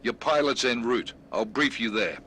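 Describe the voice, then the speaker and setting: Curt boss with animated pitch. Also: male voice, normal speed, slight background noise. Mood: curt, commanding. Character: male agency boss, curt, commanding. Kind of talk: video game cutscene acting.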